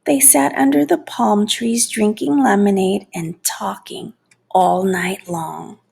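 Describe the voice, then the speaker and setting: Slow, creaky-voiced woman reading a storybook. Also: mid-range voice, fairly even intonation, darkish tone. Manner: deliberate, lingering on key words. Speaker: storybook reader. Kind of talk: expressive children's read-aloud narration.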